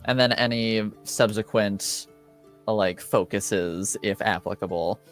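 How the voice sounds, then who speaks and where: Slow-talking male game master. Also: lowish voice, soft background music. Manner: relaxed, hesitant, full of ums and likes. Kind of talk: narrating a tabletop game.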